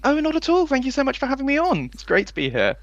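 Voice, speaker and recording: A man's voice: extremely high pitch, huge pitch swings, quick pace, no room sound, muffled recording. A man giving chatty, enthusiastic interview answers as a producer, recounting memories in a conversational way.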